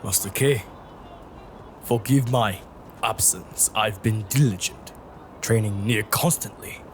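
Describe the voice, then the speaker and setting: A man's voice: fairly low pitch, takes it slowly, a little hiss or hum. A man playing an earnest, dutiful young martial arts student in an audio drama, speaking formally and solemnly. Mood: respectful, guarded.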